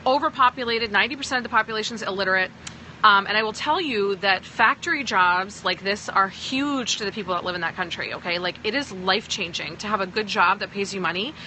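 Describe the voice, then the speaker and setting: A woman's voice: medium pitch, fast talker, sharp tone, a little hiss or hum, poor audio. A woman from Pakistan who sounds American, talking to viewers on a phone livestream as a sales team leader, emphatic and often checking in with "okay?". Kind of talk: livestream talk answering viewers.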